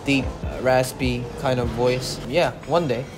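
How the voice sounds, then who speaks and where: A man in his twenties with a thin, light voice, a guest in a casual interview. He talks in a soft, relaxed way. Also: mid-range voice, unhurried, over music. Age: 20s. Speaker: interview guest, music producer. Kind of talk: casual conversation in English.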